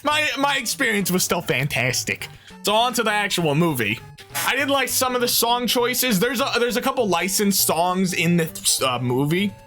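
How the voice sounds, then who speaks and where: A young man rambling through a casual movie review, animated and quick to interrupt himself. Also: high voice, faint music behind.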